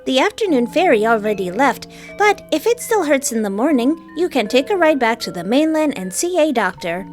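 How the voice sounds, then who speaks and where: A woman's voice: high-pitched, big pitch swings, normal speed, hardly any pauses, faint music behind, voice pushed up. A woman voicing a cheerful, helpful hotel computer mascot with a chirpy customer-service manner. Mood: chipper.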